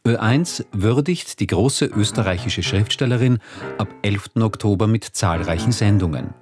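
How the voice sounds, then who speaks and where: A man narrates a scripted radio promo in a polished, deliberate way. His voice sits low. Clear guitar music plays behind him.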